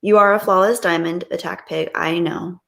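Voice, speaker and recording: A woman's voice: fairly low pitch, fairly even intonation, moderate pace, creaky. A woman hosting a livestream, chatting casually and reading out viewer comments in a gushing, appreciative, playful way. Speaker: livestream host.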